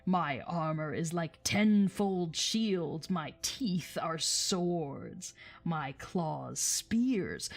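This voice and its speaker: A woman voicing a boastful, menacing old male dragon in a storybook reading, with slow, drawn-out, emphatic speech. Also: on the low side, heavily acted.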